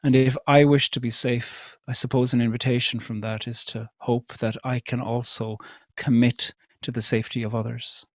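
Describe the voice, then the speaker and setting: An Irish man speaks at a calm, considered pace, posing question after question with gentle hesitations between them. His voice sits at an everyday middle pitch. The sound is dry and close, with no room echo. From Ireland. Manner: measured, thoughtful, many rhetorical questions and soft hesitations. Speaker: speaker at an interfaith event. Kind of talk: reflective public talk.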